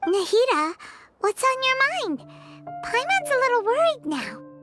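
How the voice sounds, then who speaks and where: A woman voicing a tiny, excitable female fairy companion in a video game, with a squeaky childlike voice. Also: measured pace, music in the background, heavily acted. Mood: concerned. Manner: speaks of herself in third person, exclamatory. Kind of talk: video game character dialogue.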